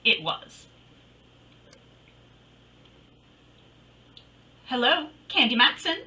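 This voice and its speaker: A woman's voice: high voice, big pitch swings, normal speed, pushed and bright, full character voice. A woman playing a wisecracking, breezy female private detective in old-time radio style, with wry, slangy delivery. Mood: wry narration. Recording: distant microphone.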